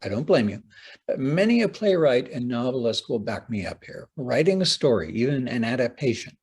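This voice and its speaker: A man with a husky voice giving a prepared talk as a playwright, speaking deliberately and theatrically with dramatic pauses. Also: mid-range voice, very animated, darkish tone. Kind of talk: prepared conference lecture, read aloud with dramatic phrasing.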